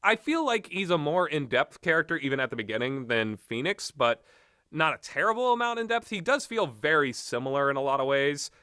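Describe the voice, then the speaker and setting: A man rambles through blunt, casual commentary in a bright, forward voice. His pitch swings around a lot as he halts, restarts and fills gaps while thinking. The recording is close and dry. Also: big pitch swings, smooth, dry recording. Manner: hesitant, halting, many filler words and trailing thoughts. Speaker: host of a video game talk.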